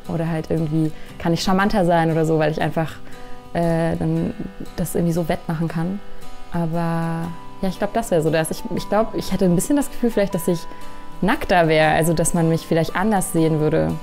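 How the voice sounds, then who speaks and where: A woman's voice: low-pitched, fairly even intonation, fairly quick, darkish tone, faint music behind. A woman answering interview questions casually, hesitant and thinking aloud with many fillers. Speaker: interviewed friend.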